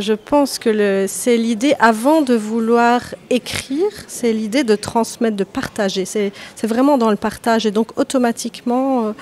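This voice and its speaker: A woman in her thirties from Lebanon, an author answering podcast interview questions with many pauses, fillers and restarts. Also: medium pitch, a little mellow. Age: 30s. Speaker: author guest.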